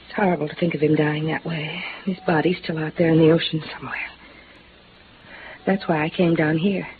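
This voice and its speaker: An American actress playing a cool, guarded, evasive widow in a melodramatic 1950s radio noir drama. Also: female voice, low voice, steady tone, a little fast. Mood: pained, evasive. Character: cool, guarded, alluring widow hiding a secret. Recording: muffled, hissy vintage radio recording.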